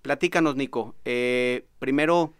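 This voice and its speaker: A man's voice: mid-range voice, a little slow, clear, resonant tone, dry recording. A Mexican man hosting a podcast in a small recording booth, friendly and easygoing, with lots of "este" fillers. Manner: friendly, laughs easily, many fillers like "este". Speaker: podcast host. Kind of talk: podcast interview.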